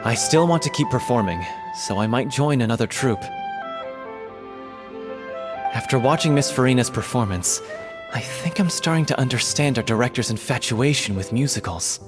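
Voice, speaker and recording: A man's voice: mid-range voice, expressive, brisk, music in the background, close to an everyday voice. An actor playing an earnest young male troupe performer in a video game. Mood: hopeful.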